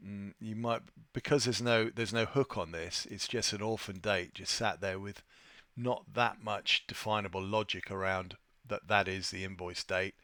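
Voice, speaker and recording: A man presenting an unscripted software tutorial, thinking aloud with a hesitant delivery as he walks through his screen. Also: low-pitched, no echo, clean studio sound. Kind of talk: unscripted screen-share walkthrough.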